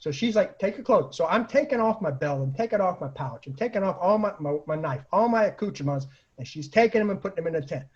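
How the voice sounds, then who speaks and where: A man with a husky voice tells comic personal anecdotes in a casual, animated way, acting out the lines people said. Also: high-pitched, fast, few pauses. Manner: animated, acts out quoted lines, laughs at own stories. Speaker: storyteller, online show host. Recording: dull lo-fi home recording.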